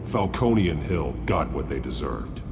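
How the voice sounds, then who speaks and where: Masked game vigilante, very deep, dynamic intonation, grim, determined, commanding. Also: male voice, animated, measured pace, over loud music, full character voice. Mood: stern, resolute. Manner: stern, commanding. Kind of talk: video game voice acting.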